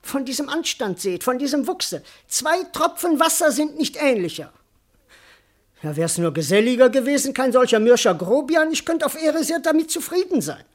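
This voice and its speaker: A woman's voice: high-pitched, lively intonation, moderate pace. A woman playing a cheeky, put-upon male servant in a classical verse radio comedy, protesting earnestly and emphatically with many words. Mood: bewildered, marveling. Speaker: actor.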